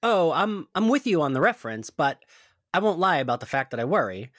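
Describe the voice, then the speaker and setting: A performer reads a low-key, caring young college guy with a werewolf side. The voice is plain-spoken and gentle, medium in pitch with lively movement, recorded very dry. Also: brisk, no echo, lightly acted. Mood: concerned.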